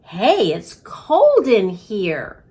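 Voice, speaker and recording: A woman's voice: mid-range voice, wildly swooping pitch, extremely slow, warm tone, full character voice. A woman voicing a hearty, chummy male janitor with a theatrical streak in a children's storybook. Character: cheery dramatic male janitor, hearty and chummy with classroom pets.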